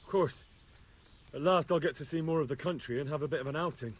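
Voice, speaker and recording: A man's voice: on the high side, quick pace, close to an everyday voice. A man playing an earnest, curious young medieval squire in a video game cutscene, with a plain, inquisitive manner. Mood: eager. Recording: clear noise behind the voice.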